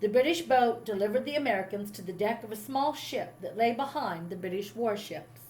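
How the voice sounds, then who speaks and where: A woman's voice: medium pitch, brisk, darkish tone, a little hiss or hum. A woman reading a children's story aloud like a teacher, with dramatic emphasis on key moments. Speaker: teacher reading a picture book to a class. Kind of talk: expressive read-aloud storytelling.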